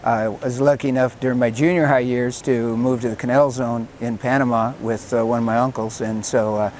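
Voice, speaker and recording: An older man with an American accent and a middle-pitched voice talks casually about his coaching life. He tells anecdotes in a self-deprecating way. Faint outdoor traffic noise sits behind him. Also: steady tone, brisk, darkish tone. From Washington state, USA. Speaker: American football head coach. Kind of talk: casual interview reminiscing.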